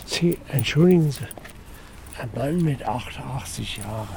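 An excitable man, a local resident, firing off questions in a spontaneous street conversation. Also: medium pitch, moderate pace, gravelly, a little hiss or hum. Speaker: local resident being interviewed. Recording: busy voices in background.

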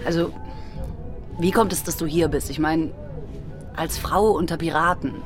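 A woman's voice: deep voice, steady tone, normal speed, over music, natural voice. Woman playing a nervous, guarded young shipmate. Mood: shy, hesitant. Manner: hesitant, stammering. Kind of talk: German audio drama acting.

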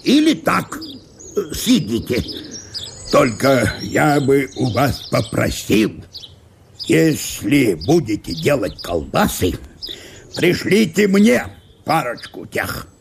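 Actor as a creaky, wheedling small-town mayor. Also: male voice, mid-range voice, huge pitch swings, a little hiss or hum, exaggerated voice. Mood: officious, coaxing. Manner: ingratiating, ceremonious, halting. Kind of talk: dramatised literary monologue for radio.

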